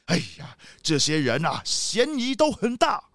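A man's voice: sits high, wildly swooping pitch, a little slow, a bit bright, dry recording, clean studio sound, full character voice. A man playing a rich, boastful, haughty leopard boss in a children's audio drama. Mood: puzzled, grumbling.

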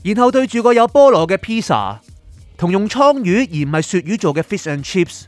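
High, very animated Hong Kong boyfriend, acted by a man. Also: normal speed, dry recording, soft background music. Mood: dejected, self-mocking. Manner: animated, self-mocking, colloquial. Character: exasperated, henpecked boyfriend telling his love woes. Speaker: narrator of a radio drama. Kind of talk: dramatised first-person comic storytelling.

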